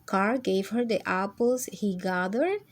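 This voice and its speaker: A woman's voice: medium pitch, unhurried. A woman hosting a children's storytime, reading aloud and explaining simply like a teacher, checking in with her listeners. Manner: checks in with listeners using tag questions.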